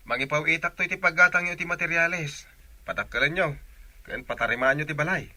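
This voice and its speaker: A man's voice: a little high, fast, sharp tone, dry recording. A man playing a dutiful, devoted young son in a radio drama, speaking earnestly.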